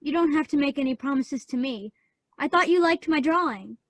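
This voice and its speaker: A woman acting a spirited, petulant young girl who loves art and has a sharp tongue, in a radio play. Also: sits high, lively intonation, brisk, dry recording. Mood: hurt, angry. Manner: petulant, sarcastic.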